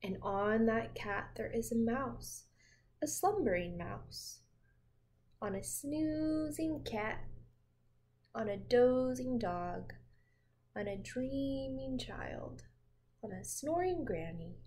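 Female storytime teacher, twenties, high-pitched voice, unhurried gentle delivery for little kids. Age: 20s. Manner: slow, gentle, expressive, addressing young listeners with questions. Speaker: teacher reading storytime to children. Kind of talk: read-aloud picture book storytelling for young children. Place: small home room with windows, indoors.

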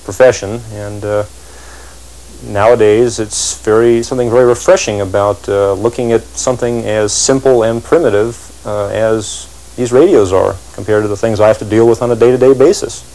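A man in his thirties giving a reflective, halting interview on a 1980s TV soundtrack. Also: fairly low pitch, fairly even intonation, dark tone, rough recording. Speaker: interviewed radio collector and electronic engineer.

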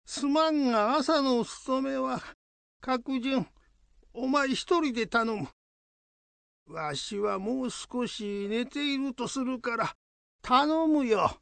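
A man's voice: extremely high pitch, takes it slowly, creaky, a bit bright, clean tone, no room sound, full character voice. A man playing an old Buddhist head priest in a radio drama, fussy but fond, with grumbling, old-fashioned elderly speech. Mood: weary.

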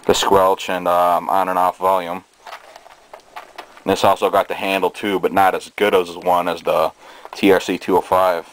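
A man giving a casual show-and-tell in a halting, hesitant way, recorded indoors on a handheld camera's microphone. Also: sits low, low-quality recording. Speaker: hobbyist presenter. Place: small cluttered bedroom indoors. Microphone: handheld camera's built-in microphone. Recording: steady noise, amateur camera sound.

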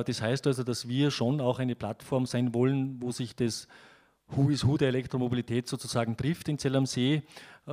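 A middle-aged man speaking into a handheld mic in a conference hall, talking in a measured way with many fillers. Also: mid-range voice, mellow. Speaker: event organizer. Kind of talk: panel talk on stage.

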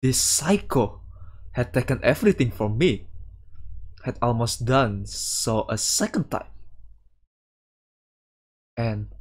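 A man reading a visual novel aloud as a streamer, with casual commentary and halting delivery. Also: medium pitch, animated. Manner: halting delivery, sprinkling in Japanese words. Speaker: VTuber streamer.

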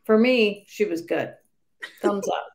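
Woman on a book club video call, medium pitch, understated, kinda hesitant and casual. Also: animated, slow pace, a little mellow. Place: small room at home.

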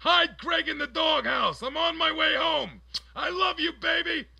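A man's voice: very high voice, unhurried, vocal fry, sharp tone, full character voice. A man playing a pushy, nosy character in a prank phone call. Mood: frantic shouting. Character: pushy, folksy male lodge raffle caller. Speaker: prank caller.

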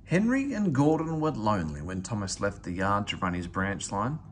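Expressive man reading a children's story. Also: lowish voice, normal speed, slight background noise. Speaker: storybook reader. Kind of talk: children's story read aloud, scripted narration.

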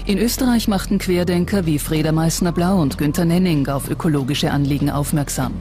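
A narrator reading a scripted documentary in a measured, factual way. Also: medium pitch, mellow. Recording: music bed under the voice. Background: music.

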